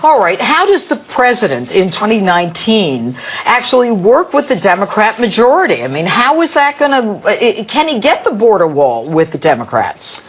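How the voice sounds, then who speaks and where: A woman's voice: mid-range voice, big pitch swings, normal speed, hardly any pauses, breathy edge. A woman hosting a live panel, moderating and putting casual, direct questions to guests and audience. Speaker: television host and moderator.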